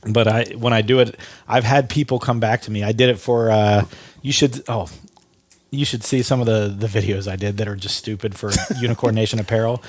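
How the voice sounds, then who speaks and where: A man's voice: on the low side, fairly even intonation, a little fast, no echo. A man hosting a podcast in casual chat, slightly stuffy and nasal, rambling through stories with many restarts. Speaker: podcast host.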